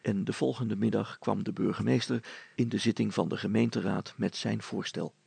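Very gravelly male storyteller. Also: on the low side, steady tone, moderate pace, darkish tone, no room sound. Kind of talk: scripted children's story narration.

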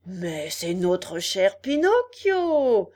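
A woman voicing a sly male fox who feigns gentlemanly sympathy in a storybook, theatrical and drawn out. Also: on the high side, animated, slow pace, exaggerated voice. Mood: effusive.

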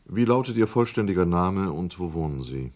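A male chairman leading a hearing in a radio play. His voice is slightly low, and he speaks in measured, official phrases. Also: expressive, a little mellow. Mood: formal. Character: formal male committee chairman. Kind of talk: 1980s radio drama acting.

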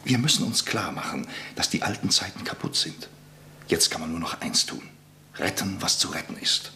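Humble earnest lawyer in a radio play, super deep, bright edge, half whispering. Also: male voice, animated, creaky, a little hiss or hum, heavily acted. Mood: earnest, pleading. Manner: solemn, hushed, halting. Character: earnest middle-aged lawyer, humble, loyal monarchist. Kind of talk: radio drama acting.

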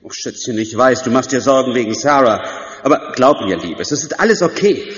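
An actor playing an exacting, excitable theater director with a bossy, sarcastic manner in a German audio drama. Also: male voice, medium pitch, fairly quick, close to an everyday voice. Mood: soothing. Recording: dull sound in an echoing theater hall.

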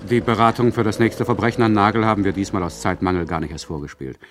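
A man's voice: lowish voice, steady tone, fast talker, noisy background, natural voice. A man acting as a sardonic, condescending radio play narrator, addressing his listeners with mock patience. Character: condescending, chatty male narrator who mocks his listeners.

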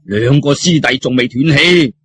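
A man's voice: mid-range voice, normal speed, fairly bright tone, slightly rough. A man with a Cantonese accent voicing an angry, breathless Taoist priest in an audiobook, huffy, blustering and accusatory. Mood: accusing.

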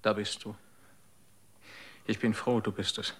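An actor in a radio drama playing a devoted, worried companion, speaking gently and earnestly, often in a hushed voice. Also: male voice, fairly low pitch, level tone, unhurried, loud music behind the voice, close to an everyday voice.

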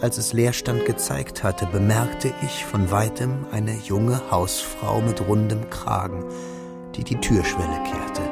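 An actor playing a wistful, pensive young man who narrates his memories in a measured, reflective way for a literary radio drama. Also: fairly low pitch, gravelly.

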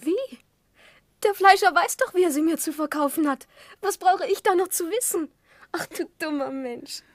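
A woman's voice: very high voice, moderate pace, dry recording, studio quality. An actress playing a childish, playful young woman with a coquettish, naive, half-whispering manner in a 1960s German radio play. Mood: playful defiance.

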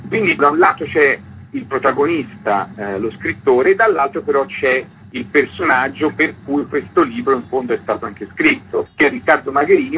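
A man, an author being interviewed, explaining things in spontaneous, unscripted speech. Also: mid-range voice. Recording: dull lo-fi sound, faint background noise.

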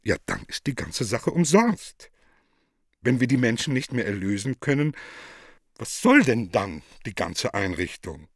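A man playing a haughty, calculating Virgin Mary in a radio play, with an affected, drawn-out manner. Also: mid-range voice, big pitch swings, dry recording, clean studio sound. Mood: exasperated. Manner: affected, drawn-out, sprinkled with French.

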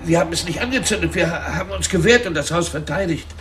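An actor playing a frightened, deferential detainee under interrogation in a German radio drama, hesitant, submissive and pleading. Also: male voice, a little high, expressive, fast talker, a bit bright, slight background noise. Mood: desperate, pleading.

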